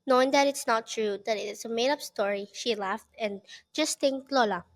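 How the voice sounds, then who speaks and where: A woman's voice: fairly high pitch, normal speed. A woman narrating a scripted children's story as an author reading her work aloud.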